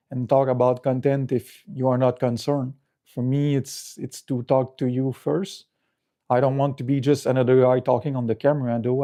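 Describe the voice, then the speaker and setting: Casting: middle-aged male streamer, French Canadian accent, soft dark tone, halting unscripted delivery. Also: medium pitch. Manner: halting, searching for words, repeats words. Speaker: livestream host. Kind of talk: casual unscripted livestream chat.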